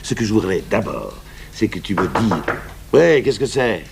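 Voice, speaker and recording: Seasoned police commissioner, very low voice, terse and unhurried questioning, vintage radio crime serial. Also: male voice, very animated, creaky. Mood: brisk, authoritative. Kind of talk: 1980s French radio crime drama acting. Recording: faint noise, old radio broadcast recording.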